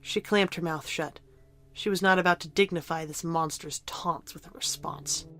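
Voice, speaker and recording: A woman's voice: on the low side, steady tone, moderate pace, a bit bright, soft background music. A woman narrator reading scripted fiction for an audio drama.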